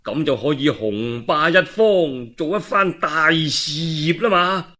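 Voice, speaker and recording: A man's voice: fairly high pitch, slow pace, pushed and bright. A man with a Cantonese accent voicing a grave, scheming male counsellor, weighty and persuasive. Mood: grave, goading.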